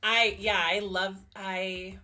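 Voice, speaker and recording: A woman with a bright, forward voice at a medium pitch hosts a book review podcast. Her delivery is casual and chatty, with an informal, agreeable way of sharing opinions. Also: flat delivery, slow pace. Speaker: podcast host.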